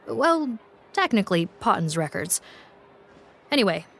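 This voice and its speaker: A woman's voice: medium pitch, big pitch swings, measured pace, gravelly, full character voice. A woman playing a businesslike, respectful officer in a video game, giving a formal, orderly report.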